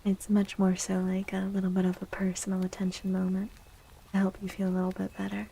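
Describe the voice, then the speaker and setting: A woman speaking softly and gently in a breathy, soothing ASMR style, often slipping into a whisper as she chats with stream viewers. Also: mid-range voice, monotone, fairly quick, a little hiss or hum. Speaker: livestreamer hosting an ASMR stream. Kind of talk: soft-spoken ASMR, chatting with viewers. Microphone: close microphone.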